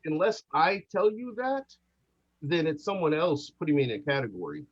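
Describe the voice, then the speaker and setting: A man's voice: fairly high pitch, big pitch swings, normal speed. A man from the United States co-hosting a casual debate, repeating himself to drive his point home. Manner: insistent, repeats himself for emphasis. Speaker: podcast co-host. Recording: dull lo-fi audio.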